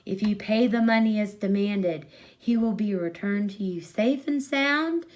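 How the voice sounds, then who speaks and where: Lady reading a story out loud, mid pitched voice, storyteller narration. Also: unhurried.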